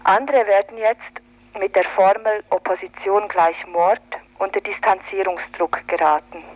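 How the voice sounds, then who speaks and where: A woman's voice: mid-range voice, steady tone, measured pace, muffled recording. A woman reading a scripted political commentary on a 1980s radio recording.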